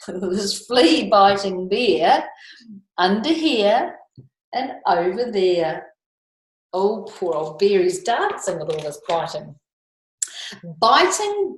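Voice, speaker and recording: A New Zealand woman reads picture books to small children with a lively, swooping delivery. She takes her time, stretching words out, and drops in chatty asides. The room around her is echoey. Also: medium pitch. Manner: expressive, sing-song, drawn-out words for young listeners. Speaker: storytime reader for young children.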